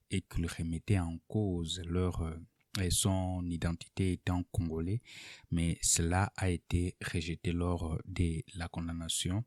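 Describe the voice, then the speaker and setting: A man presenting political analysis in an unscripted, explanatory way, deliberately and with pauses mid-sentence. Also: sits low, warm tone, no echo, studio quality. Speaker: political commentator presenting an online video.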